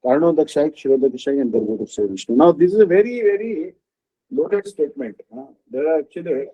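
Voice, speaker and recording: A man giving a devotional discourse as a spiritual teacher, halting often and checking in with the listeners. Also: on the high side, animated. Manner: halting, with frequent "uh" and questioning "huh?". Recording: dull lo-fi recording.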